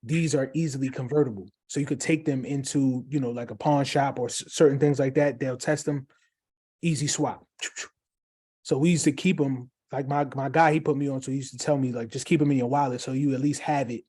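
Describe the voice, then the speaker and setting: Chill co-host guy, warm mellow voice, hypes people up, lots of ums. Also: medium pitch, steady tone, fairly quick, breathy edge. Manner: upbeat, encouraging, many fillers. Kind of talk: casual livestream chat.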